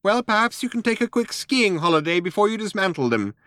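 A man voicing a small, brisk, acid-tongued talking mouse for an audiobook. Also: on the high side, animated, a bit bright, no echo, studio quality, pitched above the performer's own voice. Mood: acidic. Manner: acidic, brisk, interrupting. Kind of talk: audiobook character voice.

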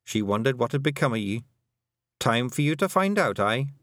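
A man's voice: medium pitch, sing-song, moderate pace, a bit bright, no echo, clean studio sound, pitched above the performer's own voice. A man voicing a tiny, dying old fairy clan mother, bossy and shrewd, in a lilting rural brogue. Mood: commanding. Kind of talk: audiobook character voice.

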